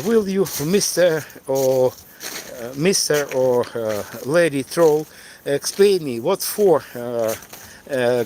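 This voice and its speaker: A middle-aged man from eastern Ukraine, a native Russian speaker, talking off the cuff on a livestream in halting, hesitant English with a Ukrainian accent. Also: a little high, darkish tone, slight background noise. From Donetsk, eastern Ukraine. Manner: halting, hesitant, searching for words. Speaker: live streamer and tour guide. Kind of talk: unscripted livestream monologue answering chat.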